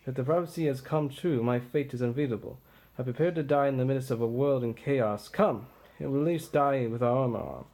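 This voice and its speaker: A man's voice: medium pitch, a little fast, a little mellow, close to an everyday voice. A man playing a bitter, defiant tyrant king facing his doom, declaiming in a brooding, combative way. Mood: angry, resolute.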